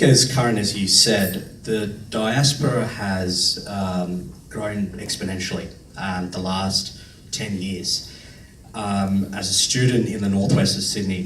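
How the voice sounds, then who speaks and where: A man in his thirties, a panelist, speaking earnestly and with measured pauses and frequent ums. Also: sits low. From India. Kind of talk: panel discussion remarks. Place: large function room. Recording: echoey room, distant mic, faint hiss.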